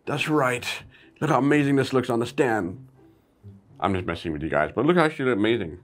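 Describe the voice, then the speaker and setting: A man's voice: medium pitch, animated, brisk. Laid-back chatty man reviewing brick toys. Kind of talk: casual review vlog.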